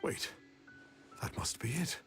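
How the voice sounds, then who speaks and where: A man's voice: slow pace, fairly bright tone, faint music behind. A man voicing a pompous yet cowardly, fawning impostor sage in a video game, with melodramatic, old-fashioned diction. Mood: pompous, baffled.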